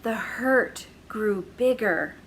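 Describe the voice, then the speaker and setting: A woman hosting a children's story hour, reading a picture book aloud in a gentle, expressive way with drawn-out emphasis. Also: a little high, a little hiss or hum.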